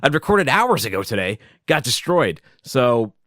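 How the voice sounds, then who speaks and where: Man, podcast host, loud voice, animated, bouncy pitch, dry basement recording. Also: normal speed, dry recording. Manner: animated, rambling, self-interrupting, chuckles often. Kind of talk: casual solo podcast talk. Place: home basement.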